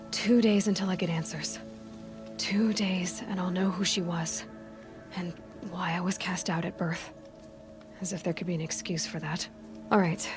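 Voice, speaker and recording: An actress playing a headstrong young outcast huntress in a video game, wry and questioning. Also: female voice, fairly low pitch, close to an everyday voice. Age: young adult. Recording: music under the voice. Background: music.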